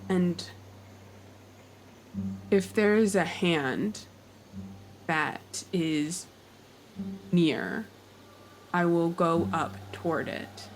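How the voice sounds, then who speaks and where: A woman playing a tabletop game, narrating her character's actions in a measured, pensive way. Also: fairly low pitch, slight background noise, rough recording.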